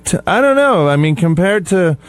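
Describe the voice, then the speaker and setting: Easygoing man with a slightly high voice. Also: very animated, moderate pace, dry recording. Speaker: radio show staffer. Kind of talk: casual radio banter.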